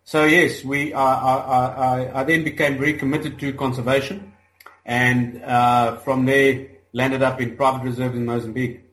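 A man's voice: mid-range voice, little pitch movement, normal speed, fairly bright tone. South African man in an echoey room. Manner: hesitant, many fillers and restarts. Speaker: guest, rhino conservationist. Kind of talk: casual interview chat.

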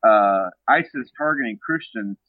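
Phone-in guest, a man with a deeper voice, talks in halting stops and starts, dry narrow line. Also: lively intonation, no echo. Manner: halting, many fillers and restarts. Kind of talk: casual radio interview talk.

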